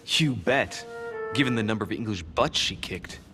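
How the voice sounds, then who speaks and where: Casting: eager young gentleman sleuth played by a man, lowish voice, teasing and earnest, video game drama over prominent music. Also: expressive, moderate pace, lightly acted. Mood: playful, curious. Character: eager, inquisitive young gentleman investigator searching a manor. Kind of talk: video game cutscene acting.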